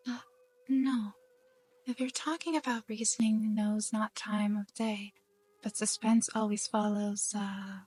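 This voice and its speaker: Sleepy, breathy, timid anime girl, played by a woman. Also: fairly high pitch, exaggerated voice. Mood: drowsy, hesitant. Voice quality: soft, breathy. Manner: drowsy, hesitant. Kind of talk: anime game fan voice-over. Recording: background music.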